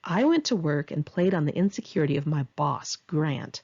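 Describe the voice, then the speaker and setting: Woman, lowish husky voice, candid conversational memoir narration, slightly muffled lo-fi recording. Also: animated, normal speed, darkish tone. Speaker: author reading a memoir chapter. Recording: dull, lo-fi home recording.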